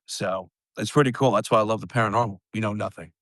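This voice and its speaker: A man co-hosting a live paranormal podcast, rambling in a casual, animated way and venting about things that annoy him. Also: fairly low pitch, fast talker, dry recording. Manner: animated, rambling, exasperated asides. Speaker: podcast co-host. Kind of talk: casual live-stream chat.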